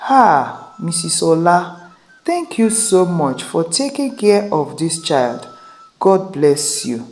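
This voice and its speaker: A woman's voice: lowish voice, sing-song, slow, darkish tone. A woman voicing a firm, pleading elderly grandmother in a folktale. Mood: grateful, pleading. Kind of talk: acted folktale dialogue.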